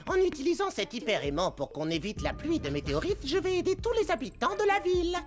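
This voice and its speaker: Nerdy cartoon boy inventor, high-pitched, wildly varied intonation, pompous, self-important, music behind. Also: huge pitch swings, fast talker. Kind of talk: French cartoon dub. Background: background music.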